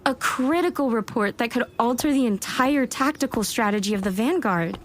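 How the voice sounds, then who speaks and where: A woman's voice: on the high side, expressive, normal speed, a little hiss or hum, lightly acted. A woman playing an eager, self-doubting warlock scholar in an audio drama, chatty and wry as she narrates her own thoughts. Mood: anxious. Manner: wry, chatty inner monologue with muttered self-talk. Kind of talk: first-person audio drama narration and dialogue.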